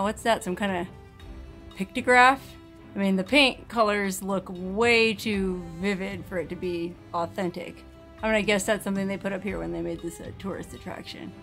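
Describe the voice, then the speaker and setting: A young woman vlogging as she explores a narrow cave, chatty and full of excited exclamations, recorded on a handheld camera. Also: mid-range voice. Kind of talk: casual vlog narration.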